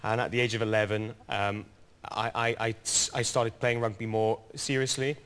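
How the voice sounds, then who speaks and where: A man in his twenties, a singer, chatting as a guest in a TV studio in a relaxed, earnest way. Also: lowish voice, normal speed, smooth. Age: 20s. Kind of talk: casual TV chat.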